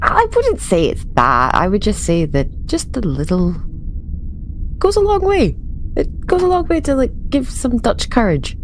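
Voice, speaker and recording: A woman's voice: fairly high pitch, huge pitch swings, a little slow, a little hiss or hum, pitched above the performer's own voice. A woman playing an upbeat, cocky starship officer in a tabletop game, breezy and informal. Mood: breezy, confident.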